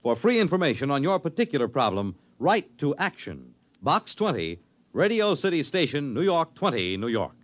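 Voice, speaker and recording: A man's voice: on the high side, big pitch swings, moderate pace, no echo. A man reading scripted announcements as a 1950s radio announcer.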